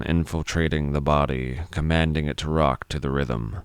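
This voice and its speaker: A man's voice: rumbling low, smooth, no echo, clean studio sound. A man reading scripted fiction narration as a storyteller.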